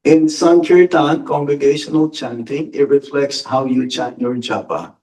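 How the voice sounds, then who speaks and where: A man, a monk, answering audience questions in a spiritual lecture, speaking deliberately and with emphasis. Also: fairly high pitch, fairly even intonation, a little mellow, recorded from a distance, rough recording. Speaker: monk giving a spiritual talk.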